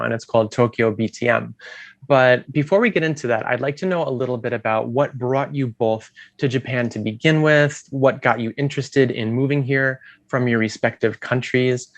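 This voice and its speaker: A man hosting an interview over a video call, asking questions in a friendly, steady way. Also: medium pitch, dry recording. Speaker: host.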